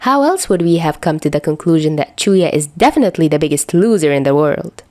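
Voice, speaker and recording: A woman's voice: deep voice, animated, a little fast, mellow. A woman voicing a dramatic, smugly teasing teenage schoolboy in an audiobook-style story. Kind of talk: audiobook-style fan fiction dialogue.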